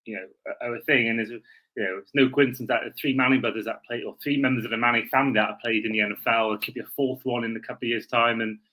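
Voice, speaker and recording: A man's voice: medium pitch, steady tone, racing, sharp tone, poor audio. A man from Wales, talking casually as a podcast host.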